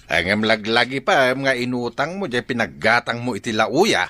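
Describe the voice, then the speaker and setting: A man's voice: medium pitch, animated, moderate pace, pushed and bright, no echo. An actor in a radio drama playing an exasperated middle-aged village husband who scolds his wife about her debts. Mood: scolding.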